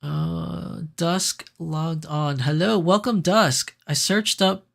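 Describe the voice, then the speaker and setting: A man hosting a casual livestream, chatting and reading viewer comments, his voice raspier than usual because he is sick. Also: on the high side, slow. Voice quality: raspy. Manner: chatty, animated, laughs easily, frequent fillers. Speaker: livestream host.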